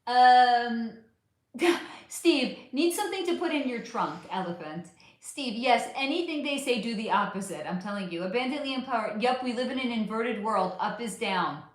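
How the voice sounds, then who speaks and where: A woman's voice: on the high side, lively intonation, normal speed. A woman hosting a casual livestream chat, profane and affectionate, losing her voice. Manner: chatty, profane, affectionate with viewers. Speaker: livestream host. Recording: very echoey room.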